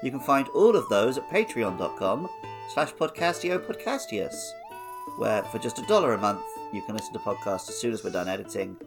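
Animated male podcast co-host. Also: medium pitch, brisk, creaky. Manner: informal, conversational. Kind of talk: casual gaming podcast chat. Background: faint music.

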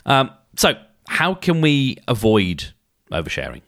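A hoarse man hosting a casual solo podcast, thinking aloud and often restarting his sentences, talking close to the mic. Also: medium pitch, wildly swooping pitch, measured pace, fairly bright tone, dry recording. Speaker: podcast host.

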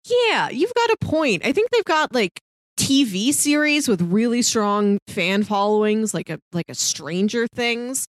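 Female co-host for casual movie chat, animated intonation, very dry sound. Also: fairly high pitch, measured pace, dry recording. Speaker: podcast co-host reviewing movies. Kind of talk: casual film discussion.

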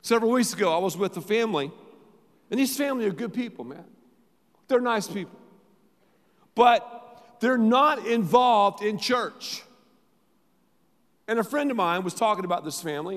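Emphatic pastor preaching in a reverberant hall. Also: male voice, sits high. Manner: emphatic, repeats phrases, sudden swings from loud to quiet. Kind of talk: sermon. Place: large church auditorium.

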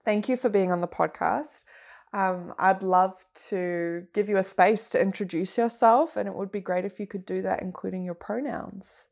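A woman's voice: medium pitch, fairly even intonation, normal speed, creaky, a little mellow. Enthusiastic woman podcast host. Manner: enthusiastic, laughing, with upbeat fillers. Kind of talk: casual interview.

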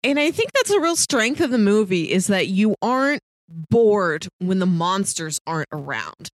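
A woman's voice: medium pitch, very animated, normal speed, dry recording, clean studio sound. A woman chatting casually as a podcast co-host reviewing films, descriptive and emphatic, slipping in mock quotes. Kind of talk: casual film review chat.

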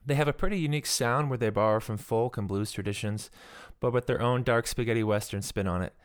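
A young adult man with a soft voice, hosting his own music podcast and casually explaining the songs he plays. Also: medium pitch, quick pace, clear, resonant tone, no room sound, clean studio sound. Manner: relaxed, explanatory, soft-spoken. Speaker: podcast host and songwriter. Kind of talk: casual spoken introduction to a song.

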